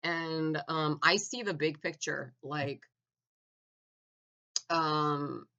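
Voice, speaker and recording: A man giving a blunt, argumentative opinion monologue, full of "like" fillers and restarts, clearing his throat now and then. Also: a little high, steady tone, extremely slow, pushed and bright, dull audio. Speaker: opinion vlogger.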